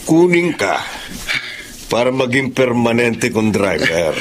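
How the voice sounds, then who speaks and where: An actor playing a genial, generous well-off boss in a Filipino radio drama, easygoing, reassuring and persuasive. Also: male voice, medium pitch, sing-song, takes it slowly. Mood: inviting, persuasive.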